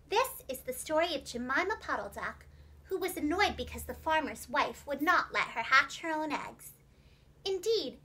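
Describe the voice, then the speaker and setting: Female children's storyteller: high, bright voice, expressive and clearly enunciated, scripted storybook narration. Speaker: preschool teacher and children's storyteller.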